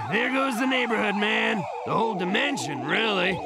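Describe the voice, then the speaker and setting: A man's voice: sits high, animated, a little slow, over loud music. A man voicing a lazy, doom-saying slacker sloth in a cartoon, with laid-back, sighing talk that keeps tagging on "man". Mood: resigned. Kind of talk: animated cartoon acting.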